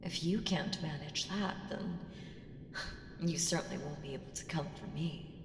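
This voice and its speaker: An ancient evil female power, played by a woman, speaks in a cavernous, long reverb. Her voice is lowish and gravelly at the edges, haughty and teasing, sometimes sinking to a whisper. It is a coolly menacing audio roleplay patron who condescends with every deliberate phrase. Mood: condescending warning. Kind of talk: slow ASMR audio roleplay monologue. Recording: echoing long hall reverb, ambient hiss.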